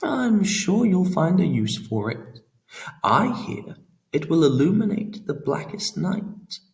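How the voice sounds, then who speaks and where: A man voicing a sly, tipsy male trickster god who teases and prattles, in a slow, creaky, lilting read. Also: sits high, big pitch swings, distant mic, voice pushed up, heavily acted. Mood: airy. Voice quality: playful, theatrical, prattling. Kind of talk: audiobook character voice.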